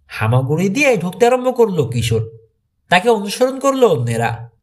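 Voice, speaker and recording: Guy narrating an adventure mystery audiobook, kinda high voice, really animated delivery. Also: normal speed. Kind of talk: scripted dramatic narration of a mystery thriller.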